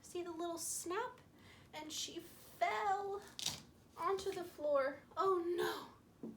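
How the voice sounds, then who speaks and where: A woman's voice: very high-pitched, background noise. A woman telling a story to young children with puppets, repeating and emphasizing phrases as she explains. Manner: slow, repetitive, emphatic, explaining to small children.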